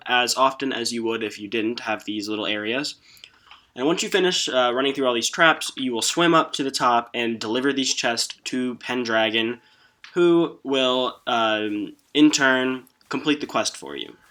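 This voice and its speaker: A man giving a casual, somewhat halting walkthrough commentary as the host of a video game guide. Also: medium pitch, sharp tone.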